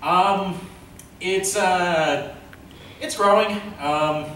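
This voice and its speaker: A man hosting an informal panel Q and A, speaking in a hesitant, halting way. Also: sits high, bright, forceful tone. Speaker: panel host, web series creator. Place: convention panel room. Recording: very echoey room, distant mic, noise.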